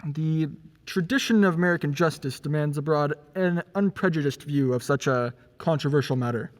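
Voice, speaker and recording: A man playing a dignified, weary judge in an amateur radio play, formal and measured. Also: on the high side, natural voice. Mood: formal, authoritative. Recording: long hall-like reverb.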